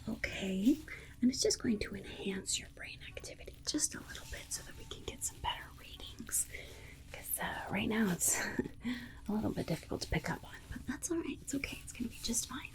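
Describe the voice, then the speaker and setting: A woman's voice: fairly high pitch, creaky, poor audio. Whispering woman as a soothing alien scientist. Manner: coddling, slow reassuring whisper with murmured asides. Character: soothing, condescending female alien scientist examining a human. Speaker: ASMR roleplay performer. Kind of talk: whispered ASMR medical-exam roleplay.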